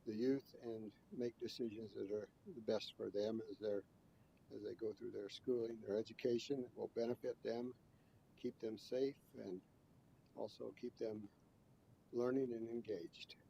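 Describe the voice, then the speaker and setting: Dark gravelly man praying slowly. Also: fairly low pitch, steady tone, a little hiss or hum. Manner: slow and halting, reverent. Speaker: school board member. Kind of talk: opening prayer. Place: conference room. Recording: dull lo-fi recording.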